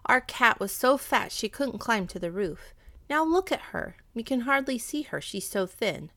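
A woman's voice: a little high, expressive, normal speed, sharp tone, dry recording, voice pushed up. A woman narrator puts on a poor old village widow from a storybook. She is plaintive and fretful, a devoted mother who complains in a raised voice, recorded close and dry. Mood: weary complaint. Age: older.

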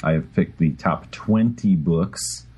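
A man's voice: deep voice, slow, warm tone, slightly rough. A man co-hosting a podcast, rambling, interrupting himself, and laughing between words. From Chicago area. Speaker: podcast co-host. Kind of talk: casual podcast chat.

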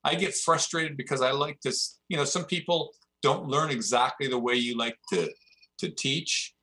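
A middle-aged martial arts instructor talking earnestly and haltingly as a podcast guest. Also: male voice, medium pitch, bright, forceful tone. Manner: earnest, halting, restarts often. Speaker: jiu-jitsu instructor. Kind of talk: casual chat.

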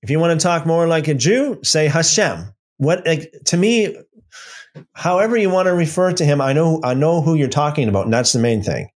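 A man's voice: fairly high pitch, expressive, moderate pace. A man hosting a livestream Bible study, reading out viewer comments and answering them with many restarts and fillers. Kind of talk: casual livestream Bible talk.

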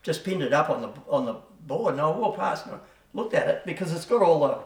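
A man's voice: a little high, expressive, fast talker, recorded from a distance. An older man, a podcast guest, telling a rambling yarn in casual chat, full of slang and chuckles. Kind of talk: casual storytelling. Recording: roomy, from off the mic.

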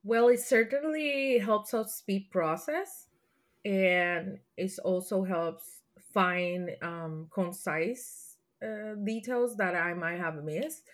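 A woman from Puerto Rico with a Puerto Rican Spanish accent, a guest answering interview questions thoughtfully, with frequent restarts and soft laughs. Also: medium pitch, slow. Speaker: podcast guest.

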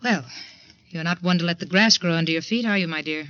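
An icy secretary in a 1940s radio thriller. Her voice is rather low and sharp, arch and pointedly polite, with veiled menace. The old recording sounds dull. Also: quick pace, no echo. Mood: arch, cold.

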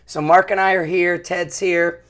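A man hosting a podcast and answering questions as a rifle instructor, hoarse from losing his voice, talking in quick bursts. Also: high voice, flat delivery, a bit bright. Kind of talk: casual interview answers.

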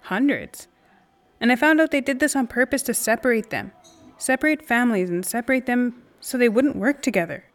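A woman's voice: a little high, expressive, a little fast, soft background music, lightly acted. A woman acting as an earnest, indignant friend talking emphatically over coffee in a naturalistic audio drama.

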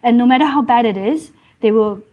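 A woman's voice: on the high side, brisk, narrow, tinny audio. A woman being interviewed in a casual conversation, often repeating and restarting her words as she talks. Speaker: guest.

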